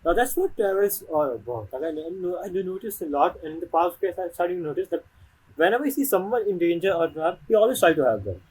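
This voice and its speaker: A man's voice: high-pitched, very animated, fast, darkish tone, husky, rough recording. A man giving casual, rambling commentary over gameplay, often leaving sentences half finished. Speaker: game streamer.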